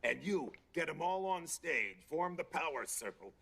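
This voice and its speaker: A man voicing a gruff, bossy, wisecracking living dummy in a TV drama. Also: on the high side, sing-song, normal speed, a bit bright, slight background noise, full character voice. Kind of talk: TV drama acting.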